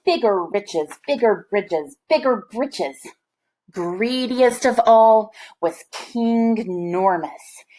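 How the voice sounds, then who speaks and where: Slow, expressive woman reading a storybook. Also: fairly high pitch, poor audio. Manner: expressive, deliberate phrasing. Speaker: storybook reader. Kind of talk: read-aloud storytelling for children.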